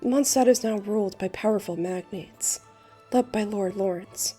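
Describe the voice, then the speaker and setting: A woman's voice: medium pitch, normal speed, warm tone, faint music behind, natural voice. A woman playing a theatrical young male bard in a fan dub, telling tales with a lilting, old-fashioned delivery. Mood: grave storytelling. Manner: lilting, archaic rhyming delivery. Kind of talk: fan dub character acting and storytelling narration.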